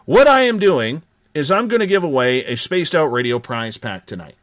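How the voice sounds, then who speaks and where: A man's voice: on the high side, big pitch swings, moderate pace, a bit bright, no room sound. A man hosting a live late-night radio talk show, giving upbeat, joking promotional patter. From Canada.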